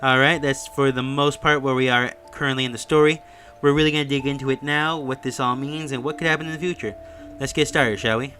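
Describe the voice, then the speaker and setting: A man's voice: mid-range voice, steady tone, brisk, pushed and bright. A man narrating an informal recap and review voice-over in a conversational, explanatory storytelling way, as a video creator would. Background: music.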